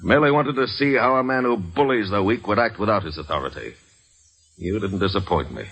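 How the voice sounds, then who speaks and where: An actor playing a brash, hot-tempered colonial patriot captain in an old-time radio drama, salty and commanding. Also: male voice, fairly low pitch, animated, fairly quick, a bit bright. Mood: scornful. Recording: vintage radio recording with music.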